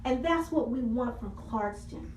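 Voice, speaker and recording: A woman's voice: a little high, lively intonation, a little slow, darkish tone, a little hiss or hum. A woman council member addressing a public meeting, speaking earnestly and repeating key phrases for emphasis. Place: city council meeting room. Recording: echoey hall, voice from far off.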